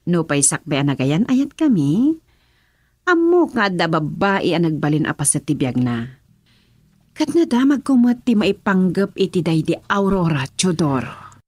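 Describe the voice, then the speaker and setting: An actress playing a teasing, refined governor's wife in an Ilocano radio drama, with a husky voice. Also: female voice, fairly low pitch, very animated, unhurried, a little mellow, dry recording, heavily acted.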